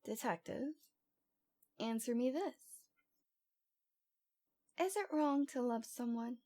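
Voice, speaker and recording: A woman's voice: a little high, lively intonation, slow, a little mellow. A woman playing a cute, lovesick young stalker girl in an ASMR roleplay, with a coy, pouty, dramatic delivery. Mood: self-righteous, defensive.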